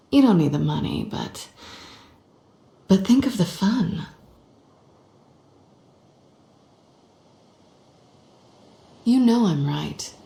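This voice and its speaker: A woman's voice: fairly low pitch, warm tone. A woman voicing a sly, flirtatious, cynical cat-burglar antiheroine in a video game, with a teasing, sardonic manner. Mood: coaxing, cynical. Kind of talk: cinematic video game voice acting. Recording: game soundtrack music behind, roomy reverb. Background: background music.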